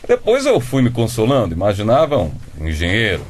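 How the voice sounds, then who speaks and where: A man's voice: lowish voice, wildly swooping pitch, fairly quick. An actor playing a stern, pedantic middle-aged father with a Brazilian accent in a comedic radio drama. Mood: exasperated. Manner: pedantic, sardonic, exasperated.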